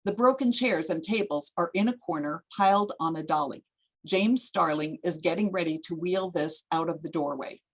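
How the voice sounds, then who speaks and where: A woman narrates the stage directions of a screenplay. Her voice is fairly low and raspy, and her delivery is measured and descriptive. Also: rough recording.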